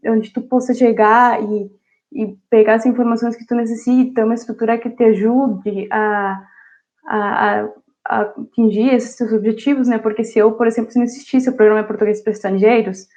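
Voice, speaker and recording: A woman's voice: on the high side, darkish tone. A woman from Venezuela, a native Spanish speaker, telling her story in an interview in a reflective way with many fillers and restarts. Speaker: interview guest, university student. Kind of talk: casual interview answer. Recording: dull lo-fi audio.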